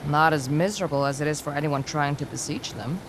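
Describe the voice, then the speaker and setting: A woman acting a guarded, low-key young heroine. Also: fairly quick, background noise, natural voice. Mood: dry, calm. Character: guarded young heroine with powers, dry and resolute. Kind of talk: video game cinematic acting.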